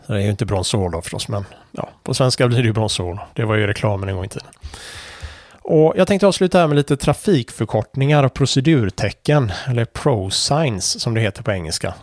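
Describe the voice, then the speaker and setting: A man calmly explaining Morse code conventions in a casual tutorial, pausing often with fillers and restarts. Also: mid-range voice, dry recording, clean studio sound. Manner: relaxed, hesitant with frequent fillers and restarts. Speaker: amateur radio instructor.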